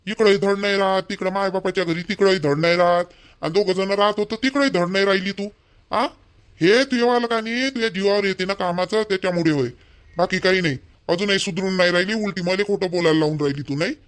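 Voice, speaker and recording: Male actor as nagging cartoon husband, high voice, Vidarbha Marathi accent, blunt, fault-finding, rustic dialogue. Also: fast talker, a bit bright, clear, resonant tone. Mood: scolding, accusing. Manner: blunt, nagging, repetitive complaining. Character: scolding, fault-finding young village husband. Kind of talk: amateur cartoon dialogue in rural dialect.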